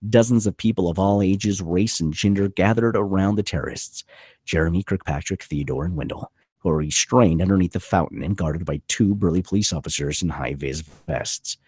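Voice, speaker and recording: A man's voice: sits low, fairly quick, a little mellow, husky, no echo. A man narrating a screenplay at a table read, reading out scene headings and stage directions.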